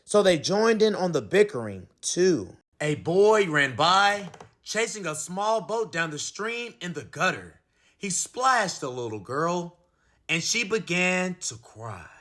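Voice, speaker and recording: A man hosting a read-aloud, narrating a children's picture book with animated, expressive storytelling. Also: high-pitched, takes it slowly.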